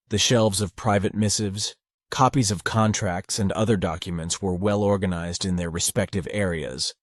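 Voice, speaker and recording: A man's voice: deep voice, dry recording, studio quality. A man reading scripted fiction narration for an audiobook.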